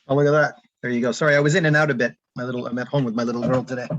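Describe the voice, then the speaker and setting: A man's voice: medium pitch, fairly even intonation, very fast, fairly bright tone, no echo. A man casually explaining his book as its author, talking from home on an online call. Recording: dull, lo-fi call audio.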